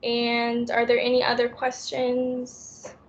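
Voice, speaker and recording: A woman's voice: a little high, monotone, takes it slowly. A young woman in her twenties, leading a casual health Q&A on a video call, talking in a chatty way with plenty of fillers and laughs. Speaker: wellness presenter. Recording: slightly dull video call audio.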